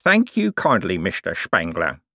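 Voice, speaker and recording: A man's voice: deep voice, wildly swooping pitch, bright, forceful tone, dry recording, clean studio sound, heavily acted. Man playing a wheedling, sanctimonious old con-man preacher. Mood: sly, sardonic. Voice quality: mushy speech with whistling false teeth. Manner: solemn, drawn-out, wheedling. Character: old male con man posing as pious, repentant preacher.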